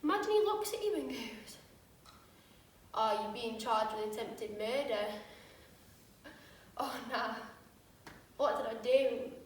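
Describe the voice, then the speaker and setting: A woman's voice: fairly high pitch, expressive, takes it slowly, long pauses, slight background noise. A woman playing a shaken young woman in a cell. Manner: vivid storytelling, acting out the voices of others. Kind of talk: stage monologue. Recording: echoey room from far off.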